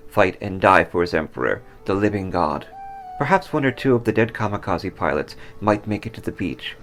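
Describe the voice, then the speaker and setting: Low, gravelly, dramatic male storyteller. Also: a bit bright. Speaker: author reading own story. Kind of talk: dramatic scripted story narration.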